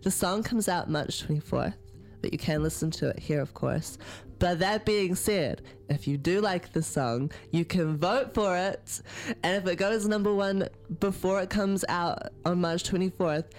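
A woman's voice: fairly low pitch, unhurried, darkish tone. Brief: laid-back woman artist guest, giggly, chatty, studio mic. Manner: giggly, lots of "like" fillers. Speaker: musician guest. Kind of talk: casual radio interview chat. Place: radio studio.

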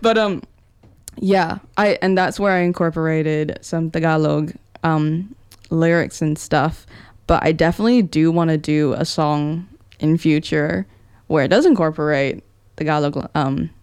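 A woman's voice: deep voice, steady tone, a little slow, a little mellow, clean tone. A young woman with a New Zealand accent chatting casually as an interview guest, in a playful, slangy way. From Wellington, New Zealand. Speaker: indie musician, interview guest. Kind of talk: casual chat.

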